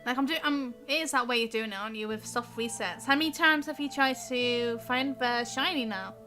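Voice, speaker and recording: Give a British woman live streaming a video game. Her voice is high and bright, and she talks in a casual, animated, exclamatory way. Faint game music sits behind her. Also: a little fast. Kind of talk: casual chatty gameplay commentary.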